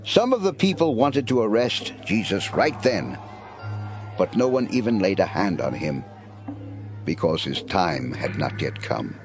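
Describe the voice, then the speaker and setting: A man's voice: deep voice, very animated, unhurried, over music. A man narrating an audio Bible drama in a scripted storytelling style.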